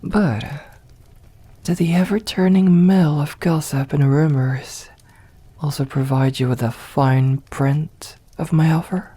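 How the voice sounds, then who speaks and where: A woman voicing a haughty, seductive, possessive vampire mistress in an ASMR roleplay, speaking softly in formal, condescending phrases, often close to a whisper. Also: sits low, fairly even intonation, heavily acted. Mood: cool, intrigued. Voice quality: breathy, soft, often whispered. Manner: slow, deliberate, condescending, formal old-fashioned diction. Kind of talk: ASMR roleplay, scripted acting.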